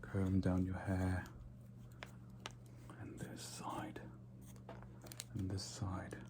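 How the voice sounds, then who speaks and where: Man playing a low, unhurried ASMR vampire. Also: monotone. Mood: approving, soft, then whispering. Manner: soft-spoken, unhurried, repeats words in whispers. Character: vain, condescending male vampire, flirtatious and nonchalant. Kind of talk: ASMR roleplay.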